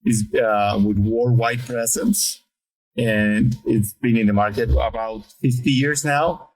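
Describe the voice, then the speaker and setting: A man's voice: medium pitch, fairly even intonation, takes it slowly, poor audio. A middle-aged man from Mexico City speaking English with a Mexican Spanish accent, answering interview questions hesitantly and in a friendly way. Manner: hesitant, many fillers and restarts, friendly. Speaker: guest, sales lead.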